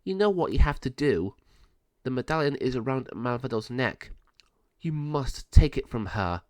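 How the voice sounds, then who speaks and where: A man's voice: mid-range voice, lively intonation, moderate pace, a little mellow, dry recording, lightly acted. A man voicing a wise, determined good sorceress in a storybook tale, in a raised voice. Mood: earnest.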